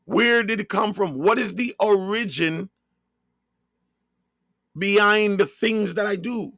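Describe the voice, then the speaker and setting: A man from Jamaica with a Jamaican accent, preaching in a slow sermon-style monologue and hammering his points home by repeating and spelling out key words. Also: high voice, vocal fry, a bit bright, no echo, clean studio sound.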